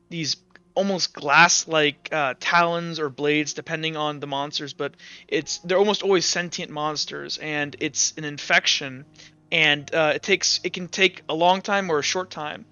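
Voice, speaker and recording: A man narrating a tabletop game as game master, improvising with halting, backtracking explanations. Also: a little high, pushed and bright, clear, resonant tone. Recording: slightly dull audio with music.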